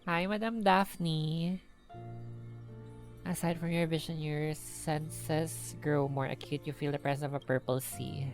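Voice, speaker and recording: Low, creaky Filipino woman streaming a game. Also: fairly even intonation, unhurried, darkish tone, soft background music. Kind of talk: reading game dialogue aloud with live reactions.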